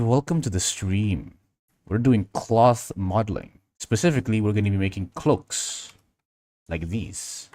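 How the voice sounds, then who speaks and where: A man from Indonesia with a fairly low voice hosts a relaxed, informal livestream. He thinks aloud through his work and answers chat as he goes. The sound is close and dry. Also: dry recording. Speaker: livestream host. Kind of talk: casual livestream tutorial chat while working.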